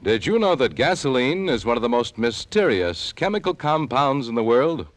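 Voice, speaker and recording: A man's voice: medium pitch, very animated, moderate pace, no echo. An American man reading a vintage radio commercial with a polished, emphatic sales delivery. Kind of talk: vintage radio advertising read.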